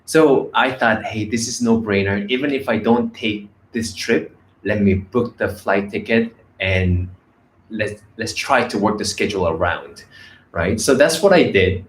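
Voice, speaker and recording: A man's voice: fairly low pitch, a little slow, slight background noise. A man, telling his story in a casual vlog with many fillers and restarts. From Korea. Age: 30s. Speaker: vlogger. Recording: echoey room with faint ambience.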